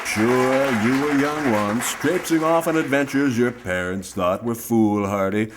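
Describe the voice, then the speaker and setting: A man acts out an old-time radio announcer selling to the listener. His pitch swings widely through theatrical rhetorical flourishes, in the manner of a comic sponsor spot. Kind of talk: scripted comic radio advertisement.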